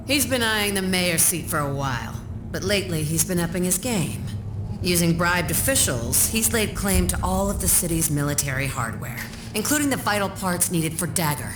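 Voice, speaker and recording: A woman voicing a tough, shrewd wasteland leader in a video game, with a brisk, commanding, streetwise manner. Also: lowish voice, background noise, natural voice. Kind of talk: video game cutscene acting. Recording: roomy echo, music behind.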